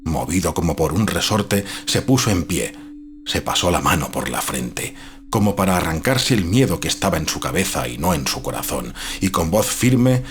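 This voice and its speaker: A man narrating a scripted literary horror tale in a measured, dramatic storytelling manner. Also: low voice, sing-song, slight background noise.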